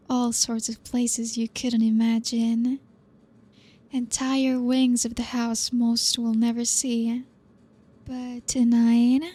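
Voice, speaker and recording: An elegant female vampire, played by a woman, speaks as a gracious hostess with a quietly possessive, teasing edge. Her voice is slightly high yet mellow, unhurried and held to a narrow, even melody. It is an intimate ASMR roleplay delivery.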